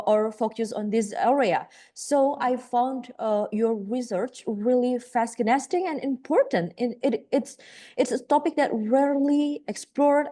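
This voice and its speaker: A woman's voice: a little high, warm tone. A woman from Indonesia with an Indonesian accent, facilitating an online session on a video call, polite with frequent fillers.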